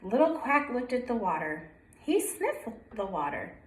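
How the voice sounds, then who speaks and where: A woman's voice: on the high side, sing-song, unhurried, a little hiss or hum. A woman preschool teacher reading a picture book aloud to young children, prompting with questions and sing-song counting. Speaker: preschool story-time teacher. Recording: roomy echo.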